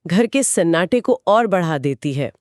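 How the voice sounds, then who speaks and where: A woman's voice: fairly low pitch, expressive, unhurried, a little mellow, dry recording, studio quality. A woman co-host retelling a short story as scripted narration within a two-person discussion.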